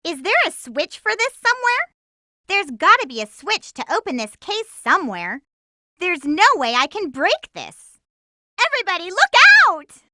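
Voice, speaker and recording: A spirited young girl heroine from a cartoon-styled video game. The voice is extremely high and sparkling bright, with lively swings in pitch. The delivery is perky, feisty and emphatic, full of determination. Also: normal speed, dry recording, heavily acted. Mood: alarmed. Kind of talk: video game voice acting, tips and battle shouts.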